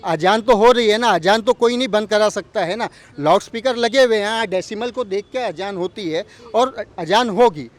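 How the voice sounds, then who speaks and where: A man's voice: sits high, normal speed, few pauses. A man giving an emphatic, argumentative opinion in a street interview, pressing points with rhetorical questions. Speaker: local community representative interviewed on the street. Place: outdoors on an urban street. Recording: outdoor street noise with traffic.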